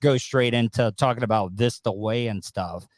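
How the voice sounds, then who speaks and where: A man in his forties casually interviewing as a podcast host, rambling and halting, close on a studio microphone. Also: mid-range voice, dry recording. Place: small home studio with acoustic foam. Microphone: close studio microphone on a boom arm.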